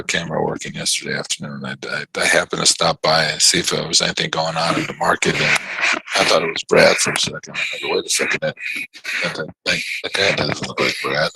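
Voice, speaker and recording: A man hosting a live trading chat on a video call, his voice worn from yelling, rambling and stammering through repeated words. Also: very deep voice, steady tone, a little fast, no room sound, poor audio. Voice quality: gravelly and husky. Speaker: trading show host.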